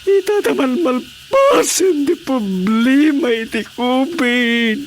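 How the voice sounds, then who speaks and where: Casting: burdened village dad, slow drawn-out pleading, radio comedy drama, slightly noisy track. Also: male voice, very high-pitched, animated, full character voice. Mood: tearful, aggrieved. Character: burdened poor village husband and father, pleading with the chairman.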